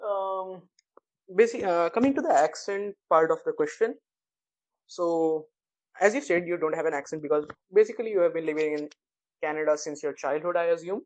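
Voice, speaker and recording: A man's voice: on the high side, moderate pace, a little mellow, low-quality recording. A man from India with an Indian English accent, a native Hindi speaker, hosting a podcast and asking questions in a casual, hesitant way. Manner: hesitant, lots of fillers and restarts, curious. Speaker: podcast host.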